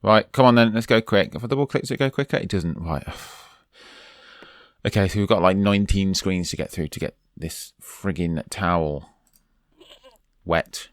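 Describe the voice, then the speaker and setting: A man's voice: low voice, moderate pace, no room sound, clean studio sound. A man from the UK commenting casually over a game, chatty and urging his character on, while losing his voice. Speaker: let's play host.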